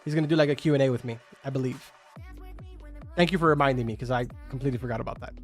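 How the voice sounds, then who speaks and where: A man's voice: mid-range voice, rapid-fire. Young man, native Spanish speaker, streaming. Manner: chatty, filler-heavy, answers chat questions. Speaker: game streamer. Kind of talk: casual live-stream chat with viewers. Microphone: studio microphone. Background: faint game music.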